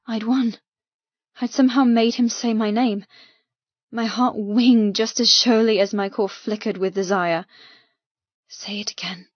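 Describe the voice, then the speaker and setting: A woman narrating dramatic first-person fiction as an audiobook, intense, expressive and deliberate. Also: fairly high pitch, dry recording, muffled recording. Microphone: close mic in dry room.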